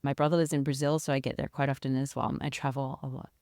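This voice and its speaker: A woman's voice: rumbling low, level tone, quick pace, darkish tone, no echo, clean studio sound. An Australian woman in her forties, talking casually and conversationally as an interview guest. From rural South Australia. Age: 40s. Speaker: interview guest, leadership consultant and researcher.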